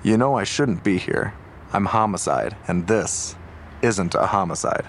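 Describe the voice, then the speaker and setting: A man's voice: on the low side, unhurried, slight background noise. An actor playing a seasoned, world-weary male homicide detective in an audio drama, asking terse, probing questions. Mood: wry, guarded.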